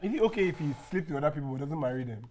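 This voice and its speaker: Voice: medium pitch, expressive, a crowd in the background. A podcast host in a casual group chat, firing off playful questions. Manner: quick-fire questioning, playful.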